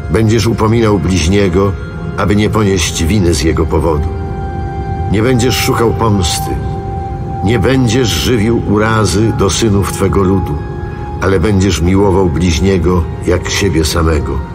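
An actor playing the solemn, commanding voice of God in a scripture audio drama, stern and measured. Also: male voice, low-pitched, over music.

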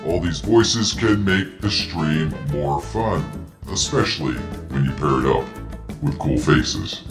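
A man's voice: expressive, slow, heavily acted. A man using a voice changer to put on a playful, altered male voice for an online tutorial. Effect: deep voice changer. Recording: roomy echo, music behind.

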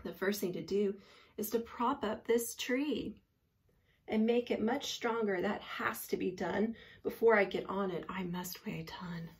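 Woman reading as slow, earnest big gentle elephant. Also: mid-range voice, close to an everyday voice. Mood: musing. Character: huge, gentle, faithful male elephant, earnest and patient. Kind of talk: storybook character voice read aloud.

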